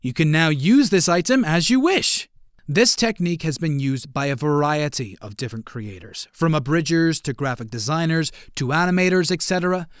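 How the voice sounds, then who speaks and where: Lively higher-pitched man narrating a tutorial. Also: moderate pace, smooth, no room sound, studio quality. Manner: instructional, step-by-step. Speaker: tutorial host.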